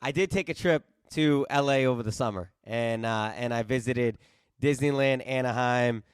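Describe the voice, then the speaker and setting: A man's voice: medium pitch, moderate pace, smooth, dry recording, studio quality. A man in his late twenties hosting a casual interview over a video call, eager and full of restarts and repeats. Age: late 20s. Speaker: podcast host. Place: East Coast home, remote video call.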